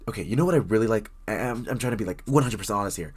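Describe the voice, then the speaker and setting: A man's voice: fairly low pitch, expressive, very fast, husky. A man playing a very shy, bookish young stranger in an intimate roleplay audio drama, stammering, rambling and apologising nervously as he addresses the listener. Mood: flustered.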